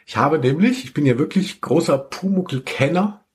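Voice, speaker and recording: A man's voice: on the high side, animated, normal speed. A man co-hosting a podcast in casual chat, rambling along with wry humour. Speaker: podcast host.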